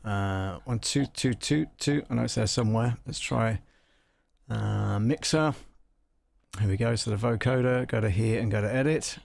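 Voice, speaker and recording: A man hosting a casual live audio software walkthrough, muttering to himself as he troubleshoots, with a gravelly, creaky voice. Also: on the low side, moderate pace, no echo. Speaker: livestream host demonstrating audio software.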